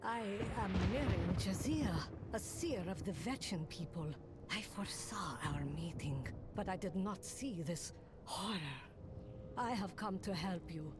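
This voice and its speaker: An actress playing a wry, self-assured older seer in a video game, with an unruffled, theatrical manner. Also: female voice, mid-range voice, animated, unhurried, very noisy, close to an everyday voice. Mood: earnest. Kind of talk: video game dramatic acting. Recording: loud game ambience behind the voice.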